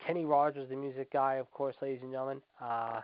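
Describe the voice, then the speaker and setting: A man in his forties hosts a casual radio show at a quick clip. He leans on repeated stock phrases and emphatic announcer-style address. The sound is dry and somewhat muffled, like lo-fi internet radio. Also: mid-range voice, fairly even intonation, clean tone, no echo. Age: 40s. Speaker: host. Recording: dull lo-fi internet radio audio.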